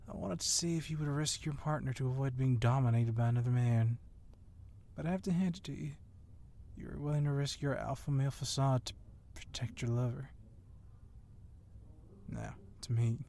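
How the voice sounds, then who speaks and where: A man's voice: medium pitch, warm tone, lightly acted. A man playing a confident, sly policeman wrestler who teases and goads his rival in an ASMR roleplay. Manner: teasing, needling.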